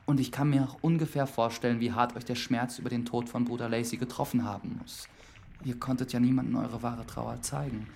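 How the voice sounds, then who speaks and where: A man's voice: mid-range voice, flat delivery, brisk, creaky, a little mellow, a little hiss or hum, lightly acted. A young man playing a polite, earnest young priest in a radio drama, speaking formally and carefully. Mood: earnest, probing. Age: young adult. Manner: formal, considerate, careful questioning. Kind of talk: radio drama acting with first-person narration.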